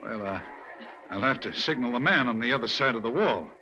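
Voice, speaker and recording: An actor playing a nervous male crook who explains a hidden tunnel wall in halting, hesitant speech, in an old radio drama. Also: mid-range voice, animated, sharp tone. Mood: reluctant. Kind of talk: 1940s radio acting. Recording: dull lo-fi old radio recording, faint hiss.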